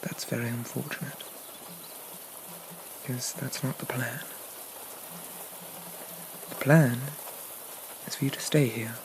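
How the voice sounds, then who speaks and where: A man playing a possessive, haughty demon prince of dreams in an ASMR roleplay, speaking softly and breathily with a coaxing, condescending, regal manner and lingering pauses. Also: mid-range voice, steady tone. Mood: possessive, menacing. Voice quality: soft, breathy, often whispered. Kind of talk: ASMR roleplay, soft half-whispered dramatic monologue. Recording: noticeable rain-like background noise.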